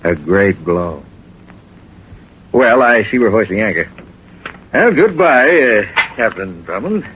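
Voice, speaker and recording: An actor playing a suave, menacing smuggler in a 1940s radio drama, speaking with sardonic, hesitant mock courtesy. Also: male voice, on the low side, very animated, takes it slowly, fairly bright tone, exaggerated voice. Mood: feigned innocence. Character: smug, menacing male smuggler villain, mockingly courteous. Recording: dull old radio recording, faint noise.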